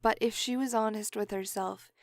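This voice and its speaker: Female audio drama narrator, medium pitch, scripted story read, very dry recording. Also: steady tone, moderate pace, no room sound. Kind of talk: scripted third-person story narration, with retakes.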